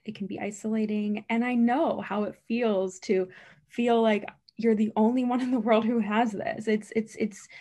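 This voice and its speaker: A woman's voice: fairly high pitch, fairly even intonation, moderate pace, warm tone. A woman who stutters, a speech-language pathologist answering interview questions in an earnest, emphatic way, with frequent repetitions and blocks.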